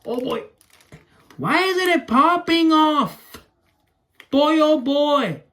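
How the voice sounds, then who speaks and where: Young man with lively pitch, vlogging. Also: extremely slow. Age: young adult. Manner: narrates own actions while handling toys. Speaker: toy video creator. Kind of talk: casual hands-on demonstration vlog. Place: small room at home. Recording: noisy home recording with clicks.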